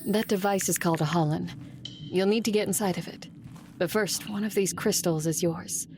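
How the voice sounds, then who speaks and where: A woman's voice: fairly low pitch, normal speed, lightly acted. A woman playing a guarded, determined leader in love, acting and narrating an audio drama with intimate restraint. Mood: urgent. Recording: music bed under the voice.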